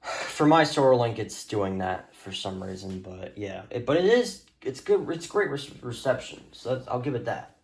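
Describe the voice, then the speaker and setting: A man's voice: medium pitch, very animated, moderate pace. A man giving a casual, rambling show-and-tell as a hobbyist, offhand and hesitant, sometimes muttering to himself. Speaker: hobbyist showing a radio collection.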